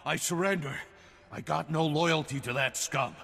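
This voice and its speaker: A grumbling hired gun in a video game. The voice is a little high for a man, raspy and forceful, with rough colloquial talk ringing in long reverb. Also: moderate pace, heavily acted. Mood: cowed, eager. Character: rough male hired thug, surrendered ex-pirate.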